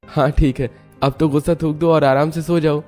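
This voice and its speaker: A man's voice: fairly high pitch, fairly even intonation, moderate pace, darkish tone, soft background music, natural voice. A man voicing an apologetic young husband in a family cartoon, placating and earnest. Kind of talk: Hindi family cartoon voice acting.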